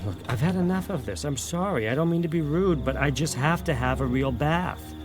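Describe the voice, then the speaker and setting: Cast an actor as a sceptical man in a radio drama, impatient and dismissive. His mid-range voice swings up and down in a lively way. Music plays clearly beneath him. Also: on the high side, a little fast, close to an everyday voice. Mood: exasperated. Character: exasperated, sceptical American visitor stuck in a small town. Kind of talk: audio drama acting. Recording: music under the voice.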